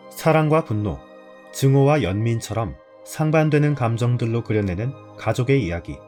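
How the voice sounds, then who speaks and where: A man's voice: mid-range voice, a little mellow. A man hosting a scripted review show, narrating and reflecting on a drama in a storytelling style. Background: background music.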